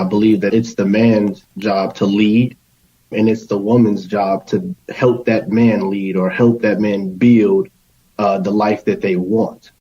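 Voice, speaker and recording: A man's voice: fairly low pitch, warm tone. A man giving conversational answers as a guest in a podcast interview. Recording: slightly dull lo-fi sound.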